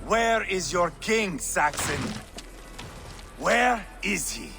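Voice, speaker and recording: An actor playing a fierce, mocking, bloodthirsty Viking warlord in a video game, with a sharp, taunting delivery. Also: male voice, sits high, expressive, drawn-out words, gravelly, over music, full character voice. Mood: menacing. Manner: sneering, taunting. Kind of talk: dramatic video game acting.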